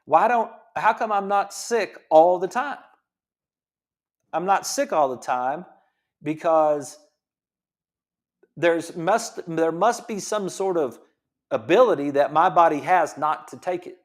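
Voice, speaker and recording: A man's voice: a little high, animated, takes it slowly, pauses often. A middle-aged man hosting a health show as a chiropractor, talking casually and rambling with many restarts. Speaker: chiropractor host. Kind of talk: casual health talk monologue.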